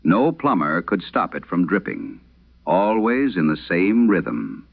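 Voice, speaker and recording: Guy doing old exorcist priest, super deep n gravelly, grave horror radio story, old radio sound. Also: sing-song, narrow, tinny audio, heavily acted. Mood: sorrowful. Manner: grave, measured, dramatic pauses. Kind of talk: solemn first-person horror radio storytelling. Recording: band-limited vintage radio sound.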